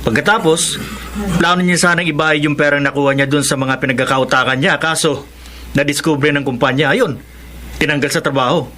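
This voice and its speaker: An actor playing a friendly, jokey and admiring young male friend in a Filipino radio drama, with an expressive delivery. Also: on the high side, fairly quick. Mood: gossipy.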